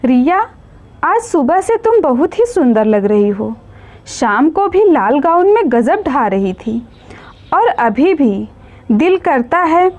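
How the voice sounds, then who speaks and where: Woman voicing a lovelorn male ghost, slow speech. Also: high voice, sing-song, a little mellow, highs cut off, exaggerated voice. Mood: admiring. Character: sorrowful male ghost, lovelorn dead first husband.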